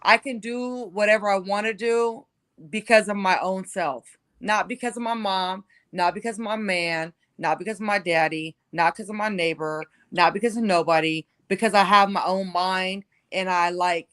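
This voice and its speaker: A woman's voice: mid-range voice, steady tone, moderate pace, a bit bright, no room sound. A woman in her forties telling her personal story as an interview guest, conversational, emphatic and self-assured. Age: 40s.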